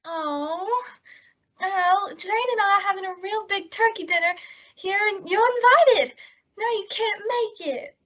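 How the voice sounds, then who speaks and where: A woman's voice: very high voice, a little slow, fairly bright tone, dull audio. A woman playing a naive, good-hearted young airhead, earnest and literal-minded, in an old-time radio comedy. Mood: earnest, cheerful.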